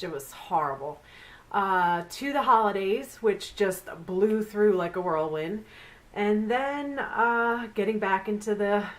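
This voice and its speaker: A middle-aged woman hosts a casual beauty review vlog, and she sounds nasal and congested from a flu. She talks in a chatty, rambling way, with lots of fillers along the way. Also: mid-range voice. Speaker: beauty vlogger.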